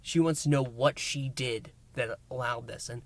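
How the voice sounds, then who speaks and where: A man hosting a review and recap, speaking in an emphatic, theatrical way with dramatic pauses. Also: mid-range voice. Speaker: host, reviewer. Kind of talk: enthusiastic scripted-style recap and review to listeners.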